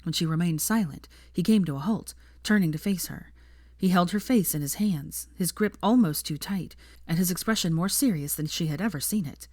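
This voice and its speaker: Woman audiobook narrator, low register, dry close sound, expressive dramatic reading of fan fiction. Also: moderate pace, no echo.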